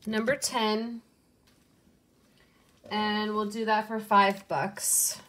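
Woman, young adult, unhurried, slow relaxed talk, soft muttered asides, casual haul video. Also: mid-range voice. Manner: unhurried, softly muttering asides, cooing over pieces.

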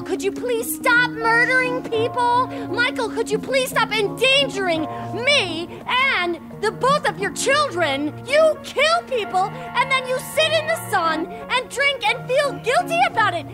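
An actress playing a fed-up, scornful estranged American wife in a video game cutscene, cutting and accusatory. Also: female voice, extremely high pitch, expressive, measured pace, hardly any pauses, loud music behind the voice. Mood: accusing.

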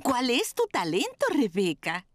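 A woman's voice: fairly high pitch, wildly swooping pitch, unhurried, heavy background noise. A woman voicing a kindly, encouraging gazelle nursery teacher in a children's cartoon dub, with an instructive sing-song manner. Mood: inviting.

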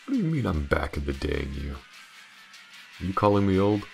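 A man playing a world-weary but tender detective in an intimate audio roleplay, with halting, shy, stammering speech. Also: sing-song, soft background music, heavily acted. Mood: teasing, nostalgic. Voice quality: very deep, gravelly, husky. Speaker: voice actor. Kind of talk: audio roleplay, intimate acting addressed to the listener.

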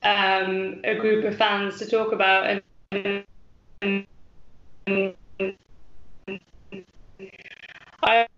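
A woman's voice: medium pitch, very slow, long pauses. Woman on an echoey video call. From English Midlands. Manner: relaxed, many ums. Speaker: guest, fan channel creator. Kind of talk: casual video-call interview. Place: small room at home. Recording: echoey room, dull lo-fi call audio.

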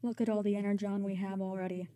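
A woman playing a stern, pleading robot resistance commander, speaking slowly and gravely in a comic dub. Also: medium pitch, little pitch movement, warm tone, no room sound, close to an everyday voice. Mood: cold, determined. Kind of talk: dramatic comic-book dub.

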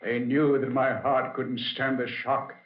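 An actor playing a vengeful undead old man in a 1940s radio horror play, slow, theatrical and coolly gloating. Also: mid-range voice, flat delivery, close to an everyday voice. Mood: grim, vengeful. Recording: muffled, echoey vintage 1940s radio.

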